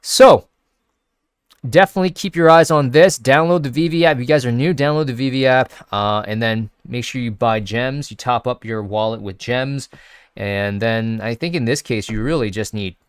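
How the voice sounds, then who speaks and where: A man's voice: medium pitch, normal speed, clean tone, dry recording. A man hosting a casual video, thinking aloud with many fillers and restarts while sniffly from sneezing. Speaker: crypto video host. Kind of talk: casual screen-share commentary.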